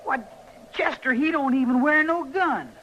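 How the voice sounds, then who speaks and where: A man's voice: extremely high pitch, measured pace, pushed and bright, lo-fi sound, exaggerated voice. An actor playing a scared, defiant runaway frontier boy with a rural American accent, folksy and earnest in old-time radio style. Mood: sheepish, defensive. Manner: folksy, earnest, stammering when cornered. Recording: noisy old radio drama recording.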